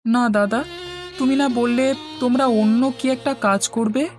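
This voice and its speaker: Woman voicing a high-pitched, earnest poor village boy. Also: fairly even intonation, measured pace, lightly acted. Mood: meek, questioning. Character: obedient, hardworking youngest brother, a poor boy. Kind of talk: cartoon fairy tale dialogue. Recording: music bed under the voice.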